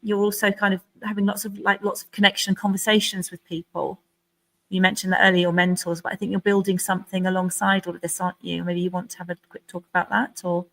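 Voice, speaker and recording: A woman's voice: medium pitch, flat delivery, quick pace, vocal fry, fairly bright tone, rough recording. A woman hosting a speaker evening, talking in a chatty, loose way with plenty of "um" and "kind of". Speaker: event host. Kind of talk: casual event hosting.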